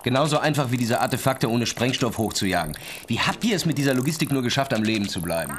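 An actor playing a cynical, sardonic rock musician at a boat's helm in a German radio drama. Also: male voice, medium pitch, expressive, fast talker, background noise, lightly acted. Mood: sarcastic. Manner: sardonic, dismissive. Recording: music behind the voice.

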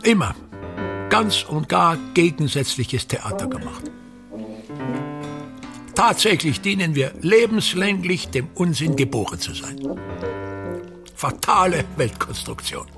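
An actor playing a pompous, embittered, self-pitying touring actor, grumbling and declaiming in a theatrical radio play monologue. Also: male voice, a little high, very animated, takes it slowly, long pauses, over music, heavily acted. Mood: indignant, grandiloquent.

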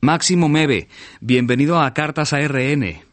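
A man's voice: a little high, unhurried. A man hosting a radio programme, chatting in a friendly, conversational interview style. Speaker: radio host. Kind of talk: friendly on-air interview chat.

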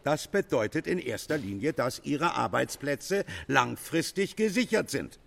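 An actor playing a domineering male company boss, speaking in formal management jargon with a creaky voice. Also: medium pitch, very animated, measured pace, fairly bright tone, exaggerated voice. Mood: formal, measured. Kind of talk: staged radio play speech.

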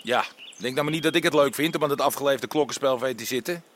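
A man's voice: medium pitch, quick pace, a bit bright, a little hiss or hum, lightly acted. An actor in an audio drama playing a gangster's henchman, wry and taunting. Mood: mocking. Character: mocking, cautious male gangster henchman.

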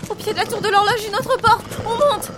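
A woman acting a bold, quick-witted adventurer sister in an audio drama, dry and commanding. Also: very high voice, steady tone, very noisy. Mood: urgent, alarmed. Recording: loud music behind.

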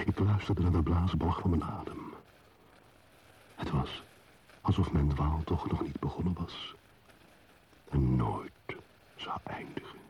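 Very deep, brooding night wanderer. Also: male voice, mellow, muffled recording, a little hiss or hum. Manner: solemn, measured, contemplative. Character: solitary brooding male wanderer narrating a night journey. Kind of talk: poetic radio play monologue.

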